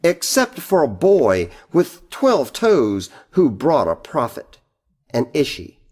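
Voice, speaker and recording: A man's voice: a little high, huge pitch swings, slow pace, darkish tone. A man reading poetry aloud in a recitation style. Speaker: poetry reader.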